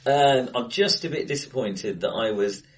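A man's voice: mid-range voice, expressive, normal speed. A man discussing films casually as a podcast co-host, often hesitating and correcting himself as he thinks aloud. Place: indoors, face to face in a reverberant room. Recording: echoey, acoustically bright room.

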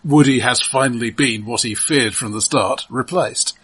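A man's voice: mid-range voice, normal speed, fairly bright tone, husky, low-quality recording. A man co-hosting a casual film discussion, speaking hesitantly and often repeating his opening words. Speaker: film podcast co-host.

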